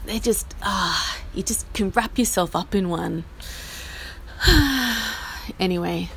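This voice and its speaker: An Australian woman in her forties, husky from a chest infection, rambling through a self-deprecating update from a small outdoor patio. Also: medium pitch, lively intonation, takes it slowly, a bit bright, slight background noise. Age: 40s. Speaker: podcast host. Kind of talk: casual personal update. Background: faint rain.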